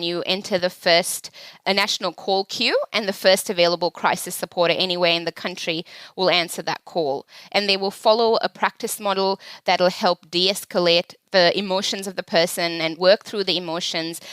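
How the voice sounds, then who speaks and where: A woman from Sri Lanka in her thirties speaks as a podcast guest, earnest and explanatory. Her voice sits low and sounds smooth and resonant. The sound is close and dry, with no room around it. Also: fairly bright tone, no room sound. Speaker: podcast guest, charity chief executive. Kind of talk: conversational interview answers.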